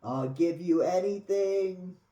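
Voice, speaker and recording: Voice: medium pitch, slow pace, warm tone, echoey room, highs cut off, natural voice. A reader voicing a greedy, pleading dragon in a storybook read-aloud. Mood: eager, pleading.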